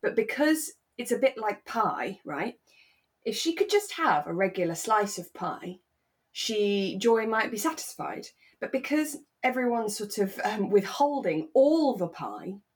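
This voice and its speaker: A woman co-hosting a podcast, chatting casually and telling stories with animated asides. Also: medium pitch. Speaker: podcast co-host. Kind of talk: relaxed casual chat recapping a radio drama.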